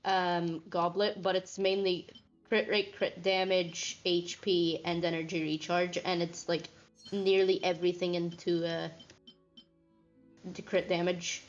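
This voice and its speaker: A woman's voice: on the low side, little pitch movement, a little slow, soft background music. Woman on dull, lo-fi voice chat. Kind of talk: casual gaming chat.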